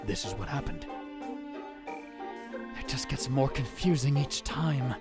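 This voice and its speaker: A man plays a grandiose young mad scientist from a visual novel, theatrical and dramatic. His pitch swoops widely between musing and exclamation, and music plays behind him. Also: lively intonation, a little slow, close to an everyday voice. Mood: musing, narrating. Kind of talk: visual novel fan dub, first-person narration and dialogue.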